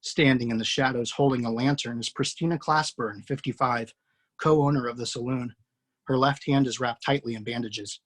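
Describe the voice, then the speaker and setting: Relaxed man hosting a table read. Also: mid-range voice, normal speed. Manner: relaxed, with fillers and laughs. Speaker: writer and host. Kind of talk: introducing cast and narrating a screenplay table read.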